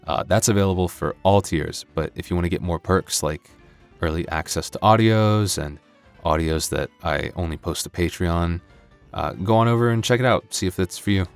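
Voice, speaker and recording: A man with a low voice talks casually to his listeners as an audio roleplay creator. He rambles in a jokey, self-deprecating way, like an informal outro chat. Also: normal speed, clean tone, faint music behind.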